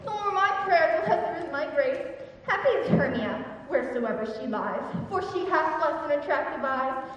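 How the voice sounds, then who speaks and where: A woman plays a lovelorn, self-pitying young woman who speaks verse wryly and bitterly. Her voice is very high, and it sounds far from the mic in a large room, with a long hall reverb trailing behind it. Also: expressive, moderate pace, muffled recording, a little hiss or hum. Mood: rueful. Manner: rueful and wry, turning to indignant reproach. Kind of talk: Shakespearean stage acting. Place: large hall or theatre stage. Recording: distant, echoey stage recording in a large hall.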